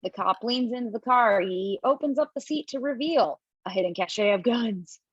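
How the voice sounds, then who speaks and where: A woman's voice: a little high, normal speed, breathy edge, no room sound. Woman reading stage directions on a call. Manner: lively, expressive reading with small slips. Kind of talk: table-read narration. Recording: dull lo-fi, dry.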